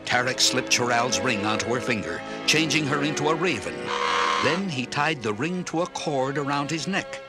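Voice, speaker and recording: A male narrator reads a children's adventure story with lively, expressive intonation. His voice sits at a medium pitch over a prominent musical score. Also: normal speed, loud music behind the voice. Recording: orchestral film score under narration.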